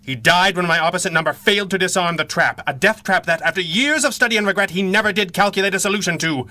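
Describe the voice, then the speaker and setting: Bright-voiced, grave masked radio hero. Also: male voice, high voice, quick pace, no room sound. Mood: anguished, urgent. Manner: formal, earnest, dramatic diction. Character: guilt-ridden masked crime-fighting hero, grave and secretive husband. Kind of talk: 1930s pulp radio adventure acting.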